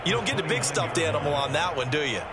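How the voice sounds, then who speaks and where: Male color analyst for game commentary, high-pitched, folksy and talkative. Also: lively intonation, fast, very noisy. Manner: chatty, folksy analysis with asides. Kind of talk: scripted sports video game commentary.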